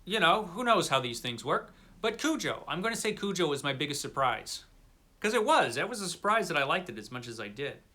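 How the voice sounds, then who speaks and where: Middle aged guy doing a casual book vlog, bright crisp-edged voice, kinda dry and playful, repeats himself. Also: fairly high pitch, sing-song, fairly quick. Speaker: book vlogger. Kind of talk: casual unscripted chat to camera.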